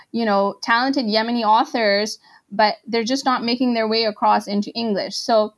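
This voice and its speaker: A woman from Pakistan, a translator guest in an interview, who talks in a chatty, casual way full of fillers. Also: a little high. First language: not Arabic. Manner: chatty, full of "like" and "you know".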